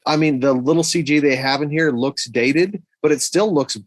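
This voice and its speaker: A man's voice: fairly high pitch, normal speed, no room sound. A man co-hosting a podcast, chatting casually and giving his opinions on films. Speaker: podcast co-host. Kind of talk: casual film discussion.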